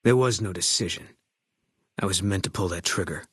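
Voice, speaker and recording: A haunted former soldier turned sniper in a video game, a man with a heavy past. The voice sits low and carries a husky grain, with a dry, close sound. Every line comes out terse, clipped and grim. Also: moderate pace, a bit bright, dry recording, full character voice. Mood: grim, brooding. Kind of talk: video game character dialogue.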